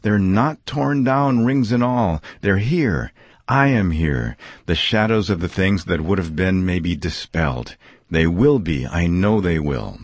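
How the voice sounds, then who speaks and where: A man voicing an elderly miser, overjoyed and giddy, with excited, breathless exclamations. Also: low-pitched, measured pace, no room sound.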